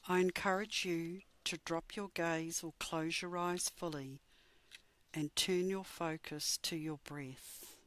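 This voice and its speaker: A woman leading a scripted guided meditation in a soothing, measured, instructive way. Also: deep voice, no room sound.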